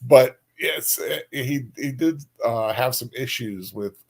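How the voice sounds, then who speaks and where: Halting, informal man in casual chat. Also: medium pitch, creaky, slightly rough. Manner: halting, informal, hesitant phrasing. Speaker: co-host of a book discussion stream.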